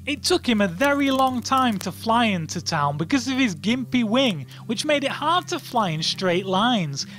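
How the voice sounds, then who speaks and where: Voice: medium pitch, fairly bright tone. A storyteller narrating a comic bedtime tale in a playful, colloquial way. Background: music.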